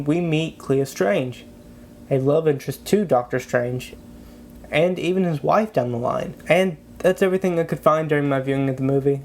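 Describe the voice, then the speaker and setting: A man with a stuffy, congested cold giving a low-energy scripted breakdown narration as a video host. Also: slight background noise.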